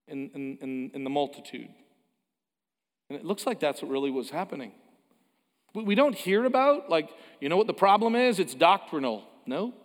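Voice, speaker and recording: Man preaching in a reverberant church. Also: fairly high pitch. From Canada. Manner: conversational, self-deprecating asides, frequent restarts. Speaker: pastor. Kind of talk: sermon. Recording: long hall reverb.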